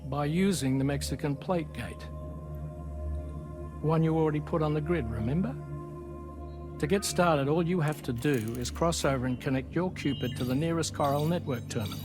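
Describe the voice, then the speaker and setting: Game role: man as practical, proud ship's helmsman, measured explainer, medium-high pitch, music behind. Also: lightly acted. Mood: explanatory. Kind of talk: video game cutscene acting. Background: background music.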